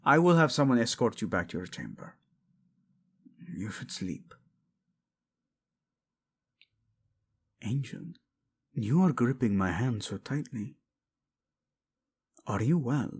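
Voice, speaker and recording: Protective, lovelorn prince male actor: breathy and husky, very unhurried, intense and tender, romantic audio roleplay. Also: medium pitch, very animated, full character voice. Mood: agitated, stern, then tender, restrained. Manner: intense, pleading, tender, often dropping to a whisper. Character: devoted, protective, guilt-ridden prince in love.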